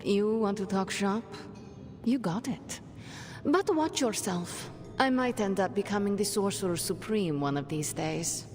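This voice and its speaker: A woman's voice: mid-range voice, lively intonation, unhurried, a little mellow, big-room sound, natural voice. An actress playing a cocky young female sorceress with a sword, talking in a wry, casual way. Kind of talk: video game cutscene acting.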